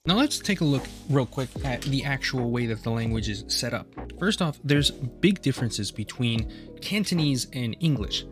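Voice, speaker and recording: A man's voice: medium pitch, moderate pace. Laid-back young man presenting a project. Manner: relaxed, self-deprecating asides. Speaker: student presenter. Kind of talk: casual class project voice-over. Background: faint guitar music.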